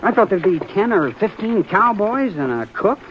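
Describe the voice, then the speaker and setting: A shy young city boy in a vintage radio western, polite and hesitant. His voice sits a little high and moves up and down a lot. The old recording is muffled, with faint hiss. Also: sing-song, moderate pace. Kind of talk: 1950s radio drama acting.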